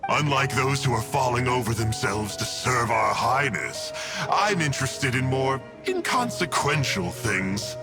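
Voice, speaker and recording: A smug, scheming game villain, with a mid-pitched voice that swings widely. He sounds breezy, mocking and theatrical, as if toying with everyone. Clear music plays behind him. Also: very animated, a little slow. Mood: breezy, flippant. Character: smug, flippant male villain of a dark order, a scheming schemer. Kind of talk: video game voice acting.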